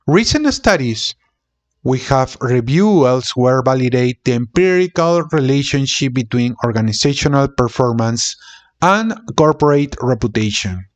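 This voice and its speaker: A man reading a business textbook aloud in a deliberate, halting way, sometimes repeating words. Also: medium pitch. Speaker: channel host reading a book aloud.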